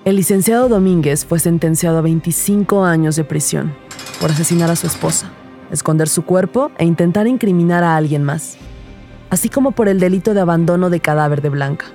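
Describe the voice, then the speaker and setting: Actress as rookie female detective, low mellow voice, crime podcast narration, measured storytelling. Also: faint music behind, natural voice. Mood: reflective. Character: young rookie female detective narrating her first case. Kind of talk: scripted first-person crime audio drama narration.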